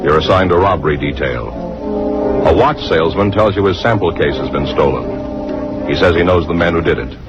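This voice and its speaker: A man delivering a scripted vintage radio introduction as announcer, in a solemn, terse and deliberate way. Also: low-pitched. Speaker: program announcer. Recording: muffled old radio recording. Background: loud music.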